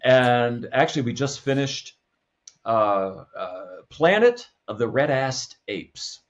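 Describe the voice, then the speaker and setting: A man chatting casually as a podcast guest, in a halting, word-repeating way, on slightly dull audio. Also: medium pitch, expressive. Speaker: podcast guest, comedy sketch producer. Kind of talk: casual chat.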